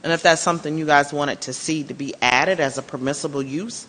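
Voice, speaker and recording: A man's voice: fairly high pitch, fairly quick, bright, forceful tone, big-room sound, poor audio. A man on the planning staff explaining permitted uses at a public meeting.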